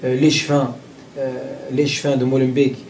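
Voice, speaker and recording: A man from Belgium answering interview questions in a played clip, halting with many fillers and repeated words. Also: mid-range voice, level tone, darkish tone, far from the mic, a little hiss or hum, poor audio. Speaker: interviewee, group spokesman.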